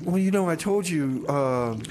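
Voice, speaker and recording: A man's voice: on the high side, animated, unhurried, a little mellow, a little hiss or hum. A man hosting a music radio show as DJ, casually announcing and bantering in a comic style.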